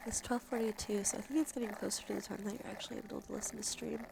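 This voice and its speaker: A woman hosting a cozy ASMR livestream, chatting casually in a soft, breathy, often half-whispered voice with a congested nose, in a laid-back, rambling way. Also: medium pitch, fairly even intonation, very fast. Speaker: livestream host. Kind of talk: cozy ASMR stream, casual chat to viewers. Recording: clear background noise, ambient sound.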